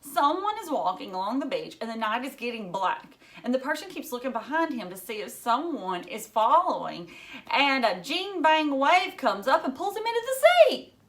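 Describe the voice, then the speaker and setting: A woman's voice: high-pitched, very animated, moderate pace, a bit bright, recorded from a distance, pitched above the performer's own voice, full character voice. A woman reading a storybook as an anxious, dramatic young schoolgirl, with emphatic, alarmed delivery.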